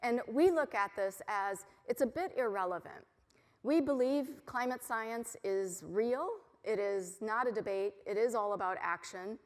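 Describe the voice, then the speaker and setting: A woman's voice: mid-range voice, unhurried. Upbeat woman speaking in a hall. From United States. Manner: confident, upbeat, frequent ums. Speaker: keynote speaker. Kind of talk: conference keynote speech. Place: large conference hall. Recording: long reverb of a large hall.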